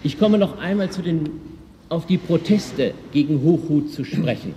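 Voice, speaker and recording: Male orator, lively intonation, hall reverb, faint noise, archive speech, deliberate emphasis. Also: a little high.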